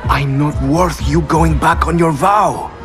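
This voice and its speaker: Earnest, higher-voiced young racer. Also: male voice, steady tone, takes it slowly, music in the background, crowd noise. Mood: alarmed, pleading. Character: earnest, anxious young male racer in debt. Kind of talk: video game cutscene acting.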